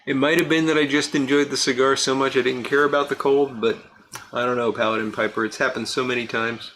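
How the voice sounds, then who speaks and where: A man's voice: medium pitch, steady tone, fairly quick, a bit bright, slight background noise. A man hosting a livestream, chatting casually and answering viewers by name. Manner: chatty, answers chat comments by name, laughs easily. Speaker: livestream host reading viewer chat. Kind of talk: casual live chat.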